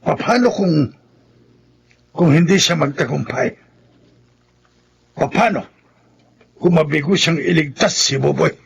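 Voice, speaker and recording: Gravelly, defiant old grandfather. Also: on the high side, lively intonation, slow, pauses often, highs cut off, slight background noise, exaggerated voice. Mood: angry, defiant. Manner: grim, emphatic. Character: defiant, vengeful old grandfather sharpening a blade. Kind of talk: radio horror drama acting.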